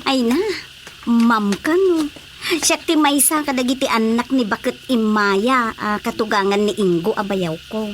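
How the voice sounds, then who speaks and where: Actress doing a whiny pleading ilocano village lady, highish voice, slow, comic radio drama. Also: a little hiss or hum, natural voice. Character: pleading, complaining comic village woman seeking help.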